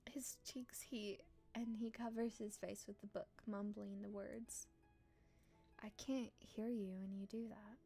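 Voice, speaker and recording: A woman live-streaming a visual novel, reading aloud and reacting casually in a playful, expressive, teasing way. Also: medium pitch, unhurried, soft background music.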